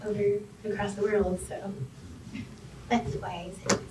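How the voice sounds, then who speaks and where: A young woman, an emerging artist, answering shyly on a panel. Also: mid-range voice. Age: young adult. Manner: shy, slow and flat. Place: art center gallery room. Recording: distant echoey room with faint noise.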